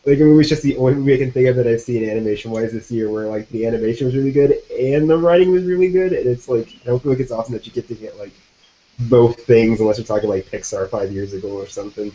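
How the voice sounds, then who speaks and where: Laid-back slangy man, mellow voice. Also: medium pitch, fast. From Virginia, USA. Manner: laid-back slangy talk, says "super duper" and "dog". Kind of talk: casual live-stream chat. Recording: muffled online call audio.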